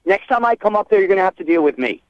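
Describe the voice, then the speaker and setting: Guy on a muffled phone call, fairly high voice, swings around a lot. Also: animated, very fast, dry recording. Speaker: prank call target. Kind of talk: unscripted phone conversation. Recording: dull lo-fi phone line.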